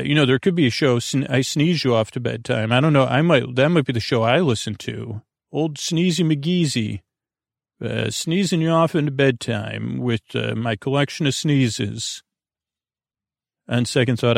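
A man's voice: medium pitch, gravelly, no room sound, studio quality. A man hosting a bedtime podcast in a meandering, hesitant, whimsical monologue, often breaking off his sentences and wandering off topic. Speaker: host of a bedtime sleep podcast. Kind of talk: rambling, off-topic monologue to the listener.

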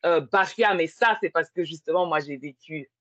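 Husky woman, reflective and hesitant. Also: sits low, moderate pace, fairly bright tone, no room sound. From born and grew up in France. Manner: hesitant, circling back to explain herself. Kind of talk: casual reflective conversation. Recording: slightly dull lo-fi sound.

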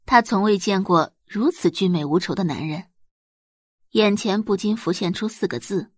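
A woman's voice: mid-range voice, normal speed, breathy edge, no echo, studio quality. A woman giving dramatic scripted narration of romance fiction as an audiobook narrator.

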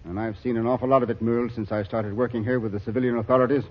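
An actor playing a hardened, blunt army captain working famine relief, grim and weary, in a 1940s radio drama. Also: male voice, mid-range voice, little pitch movement, very fast, natural voice. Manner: blunt, grimly weary, callous. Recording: dull old radio recording.

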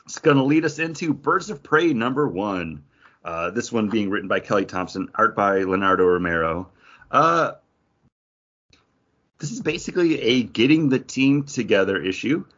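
A man's voice: on the low side, animated, normal speed, a bit bright, dull audio. A man hosting a podcast, giving a casual review in a conversational way with slangy asides. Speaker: podcast host.

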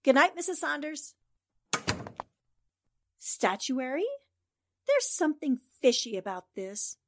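Radio-drama girl reporter female actor: high-pitched, animated, plucky and courteous. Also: slow pace, background noise, exaggerated voice. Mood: suspicious. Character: plucky, suspicious female newspaper columnist. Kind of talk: 1940s radio drama acting.

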